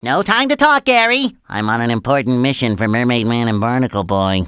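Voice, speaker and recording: A man voicing an excitable, naive, cheerful young cartoon sea sponge in a video game, with a squeaky nasal voice and eager, childlike earnestness. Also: brisk, clear, resonant tone, dry recording, studio quality. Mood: chatty, eager. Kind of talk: animated video game voice lines.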